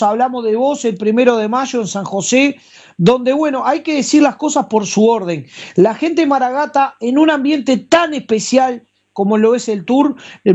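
A woman's voice: a little high, darkish tone. A woman with a Uruguayan accent hosting a radio show and interviewing a guest, on dull broadcast audio.

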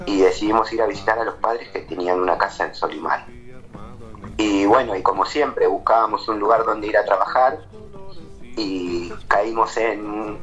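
An Argentine man in his thirties, a painter answering interview questions casually, with hesitant pauses and restarts. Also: fairly low pitch, a bit bright, dull audio. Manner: hesitant, many fillers and restarts.

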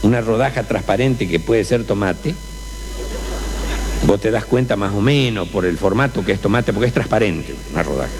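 Brief: Argentine male storyteller, very low voice with wide pitch swings, faint hum behind. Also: normal speed. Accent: Argentine Spanish. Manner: chatty, colloquial, animated. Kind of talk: telling a humorous anecdote.

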